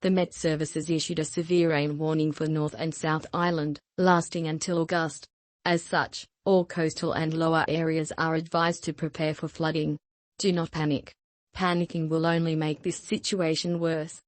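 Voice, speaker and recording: Low, flat-toned female emergency alert announcer. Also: dry recording. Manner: formal, impersonal, measured. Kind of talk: scripted emergency broadcast announcement.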